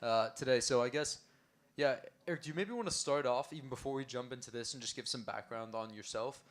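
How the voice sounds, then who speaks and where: A man co-hosting a live talk in a bar, with a mid-pitched voice. He speaks casually and makes wry remarks. When he gets going, he stumbles over repeated words. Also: fairly quick. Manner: wry, stammering when warming up. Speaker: co-host. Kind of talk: casual pub panel chat.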